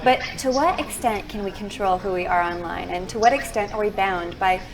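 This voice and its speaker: A woman delivering scripted documentary narration in a measured way, posing reflective questions. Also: mid-range voice, noisy background.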